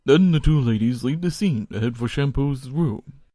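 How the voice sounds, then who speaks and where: A man's voice: medium pitch, animated, moderate pace, dark tone, dry recording. A man narrating a comedic audio drama, reading scripted scene descriptions.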